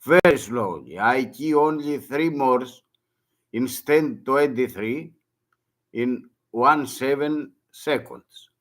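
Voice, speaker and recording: An older man from Greece with a Greek accent, speaking halting, broken English as a second language and often repeating words in a monologue. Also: mid-range voice, a bit bright. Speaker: retired merchant mariner.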